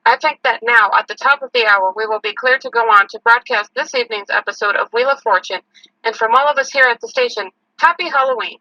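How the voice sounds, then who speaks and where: A woman's voice: on the high side, fast talker, pushed and bright, no echo. A woman playing a serious, matter-of-fact field reporter giving a scripted news report, in slightly lo-fi audio. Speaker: on-scene reporter.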